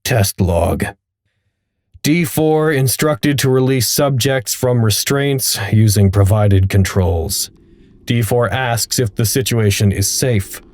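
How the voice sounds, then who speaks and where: An actor playing a detached research log narrator, reading formal reports in a precise, impersonal way for an audio drama. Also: male voice, mid-range voice, slow pace, a little mellow, no echo, close to an everyday voice. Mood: flat clinical.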